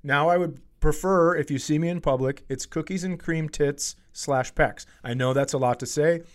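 A man hosting a comedy podcast, telling casual, profane stories in an animated way and acting out the quotes. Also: on the high side, moderate pace. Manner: animated, profane, acts out quotes in his anecdotes.

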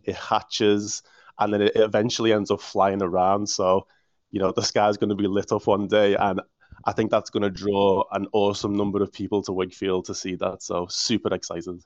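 A man's voice: on the low side, flat delivery, normal speed, no room sound. A man with a Liverpool accent, a council official answering interview questions with enthusiasm and frequent restarts. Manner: enthusiastic, restarts words often. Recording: muffled dry audio.

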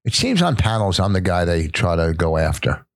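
A man's voice: deep voice, sing-song, moderate pace, dry recording, clean studio sound. An older man who is a stand-up comedian, telling stories in casual podcast chat, rambling with many restarts.

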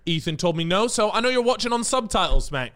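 A man hosting a podcast, chatting loosely and joking, with a fair bit of swearing. His voice sits a little high for a man and sounds smooth and resonant. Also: expressive, fast talker, fairly bright tone. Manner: chatty, swearing, jokey storytelling. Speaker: podcast host. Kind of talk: casual podcast chat.

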